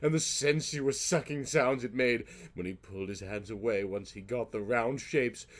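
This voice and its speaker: A man's voice: medium pitch, normal speed. A man narrating a comic story in a theatrical, mock-serious audiobook style.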